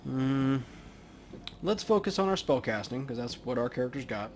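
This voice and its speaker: Male let's play host, average pitch, relaxed and casual commentary, slight background noise. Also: normal speed.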